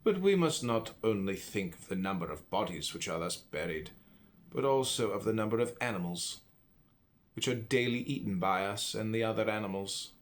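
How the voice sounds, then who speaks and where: A man's voice: lowish voice, animated, normal speed. An American man reading classical philosophy aloud as an audiobook, in a solemn, emphatic, declamatory manner. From United States. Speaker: audiobook reader.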